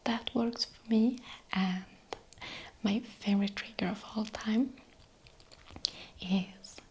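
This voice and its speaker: A young woman with a Swedish accent whispering softly in an ASMR question-and-answer video, unhurried, with small hesitations. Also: mid-range voice, steady tone, husky. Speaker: ASMR creator.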